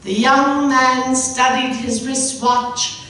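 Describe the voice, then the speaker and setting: A woman giving an expressive, measured literary reading, recorded from a distance in an echoey room. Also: sits high. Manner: deliberate, measured. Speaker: reader. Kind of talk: expressive literary story narration. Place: public reading in a library. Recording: echoey hall from far off, faint noise.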